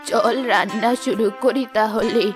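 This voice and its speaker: A woman voicing a kind, cautious old village grandmother in an animated moral story, gentle and homely. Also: fairly high pitch, a little slow, over music, natural voice. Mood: busy.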